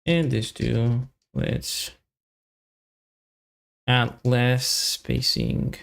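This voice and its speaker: A man doing casual live coding commentary as a developer, thinking aloud and muttering to himself in short, halting fragments. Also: medium pitch, huge pitch swings, vocal fry. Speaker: software developer livestreaming.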